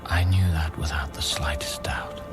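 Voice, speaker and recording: Very deep-voiced, brooding scientist. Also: male voice, steady tone, normal speed, over loud music. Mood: tender, hushed. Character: brooding, haunted man of science, tender yet irritable. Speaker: lead actor and first-person narrator. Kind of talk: radio play, intimate literary narration and dialogue.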